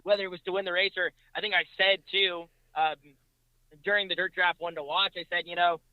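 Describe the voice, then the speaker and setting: Guy guest on a racing podcast, smooth clear voice, slightly high, just chatting. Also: no room sound, highs cut off. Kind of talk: casual racing talk.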